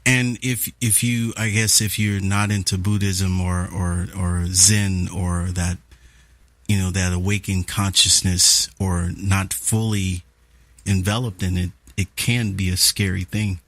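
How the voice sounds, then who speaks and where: A man's voice: low voice, flat delivery, measured pace, fairly bright tone, no echo. A man hosting a podcast, talking in an easygoing, slangy, encouraging way. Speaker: podcast host. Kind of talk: casual conversation.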